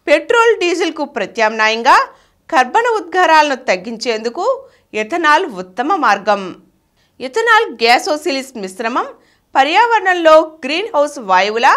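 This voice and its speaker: High, lilting woman reading aloud. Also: a bit bright. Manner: formal, phrase-by-phrase reading. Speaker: narrator reading an article aloud. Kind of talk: scripted informational narration, read aloud.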